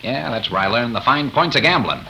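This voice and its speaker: A man's voice: medium pitch, animated, brisk, gravelly, sharp tone, full character voice. A man playing an easygoing, fair-minded frontier gambler with folksy phrasing in a 1930s radio drama. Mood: calm, candid. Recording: noisy 1930s radio recording.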